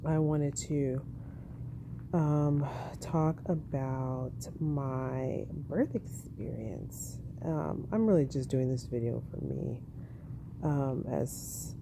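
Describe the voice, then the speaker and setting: A young woman, a tired new mother, telling her birth story in a casual, monotone vlog at home, speaking softly with a baby on her chest. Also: gravelly, background noise. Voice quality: monotone, low-key.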